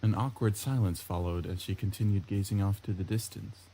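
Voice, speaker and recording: A man reading a first-person visual novel story aloud in an expressive narrating style. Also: lowish voice, fairly quick, a little hiss or hum, poor audio. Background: prominent plucked guitar music.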